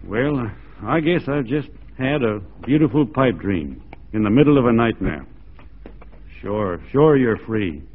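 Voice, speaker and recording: A laconic, good-natured cowboy lawman in an old radio drama. His voice is husky and he talks in halting, folksy understatement. The recording is thin, tinny vintage radio. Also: mid-range voice, lively intonation. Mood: resigned, warm. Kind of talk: 1940s radio drama acting.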